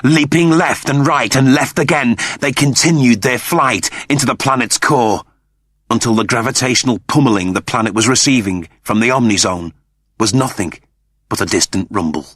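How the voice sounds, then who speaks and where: A man reading scripted fiction as a narrator, in a deliberate, theatrical storytelling manner. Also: mid-range voice, a bit bright, slightly rough, no room sound, studio quality. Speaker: audiobook narrator.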